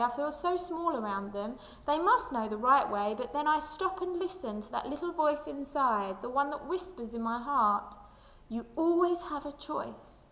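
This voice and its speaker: Echoey, higher-voiced woman reading to children. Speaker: primary school teacher. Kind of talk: children's picture book read-aloud. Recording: echoey room with long reverb.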